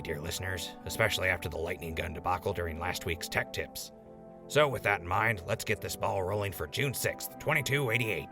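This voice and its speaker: A man playing a gravelly wasteland radio host. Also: on the low side. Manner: upbeat, wry announcer patter. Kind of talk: audio drama radio show. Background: music.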